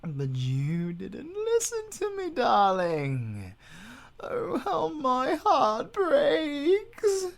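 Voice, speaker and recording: Slow, deep British werewolf villain. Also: male voice, wildly swooping pitch, vocal fry, full character voice. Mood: grandiose, anguished. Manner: grandiose, mock-gentlemanly, swinging from hushed murmurs to cries. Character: suave, theatrical male werewolf villain, possessive and menacing. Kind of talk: audio roleplay acting.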